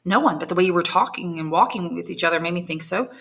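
Devoted young guy, earnest, reassuring, bright tone, lady reader doing him. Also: on the low side, quick pace. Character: ardent young man, devoted suitor. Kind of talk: audiobook character voice.

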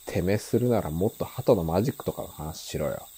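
An actor playing a scornful, aggressive teenage delinquent in a Japanese radio drama, needling in rough slang with a raspy voice. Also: male voice, sits low, lively intonation, fairly quick, dark tone, full character voice.

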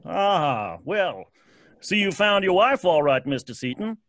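Radio play caretaker: folksy, uneasy storyteller, animated pitch, stammering hesitation. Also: male voice, a little slow, no echo, exaggerated voice. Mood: friendly, surprised. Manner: hesitant, rustic, spooked. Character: folksy, uneasy graveyard caretaker telling legends. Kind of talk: old-time radio drama acting.

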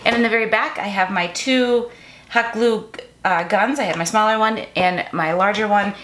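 A woman's voice: medium pitch, normal speed. Chatty woman in an echoey craft room. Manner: chatty, self-correcting, relaxed. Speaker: craft channel host. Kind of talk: casual show-and-tell narration. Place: home craft room, indoors. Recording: bright echoey room with faint noise.

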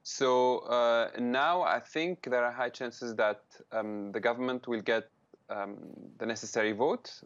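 A man in his thirties, a political analyst, answering a live news interview over a video call from a room lined with bookshelves, speaking in measured phrases with many ums and restarts. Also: mid-range voice, steady tone, fairly bright tone. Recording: slightly dull video-call audio.

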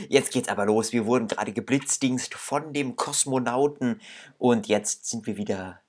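A man's voice: medium pitch, expressive, measured pace. A young man commenting casually on a game he plays, musing aloud and reading its text. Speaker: gaming video host.

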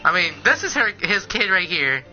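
A man's voice: sits high, big pitch swings, moderate pace, sharp tone. A young man streaming a fighting game, chatting casually and crudely, swearing and joking with a friend. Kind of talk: casual gaming chat. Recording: lo-fi with game music.

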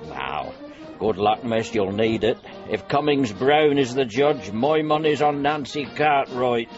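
A friendly, flirtatious rural English villager. He teases in a folksy way at an easy, slow pace with lilting pitch. The radio drama sound is dull. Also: animated, over music. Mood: folksy, flirty. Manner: folksy, teasing.

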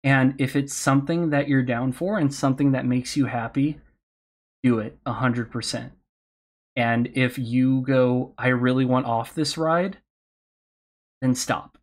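Earnest, slightly hoarse man. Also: mid-range voice, fairly even intonation, a little slow. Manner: earnest, candid, encouraging. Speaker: podcast host speaking on a panel. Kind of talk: advice talk at an online convention panel.

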